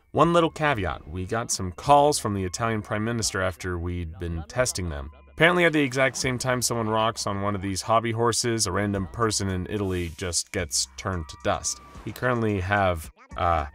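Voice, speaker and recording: A man's voice: on the low side, normal speed, fairly bright tone, voice pushed up, lightly acted. A man doing an eager saleswoman executive. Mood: salesy. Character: eager female toy executive pitching products.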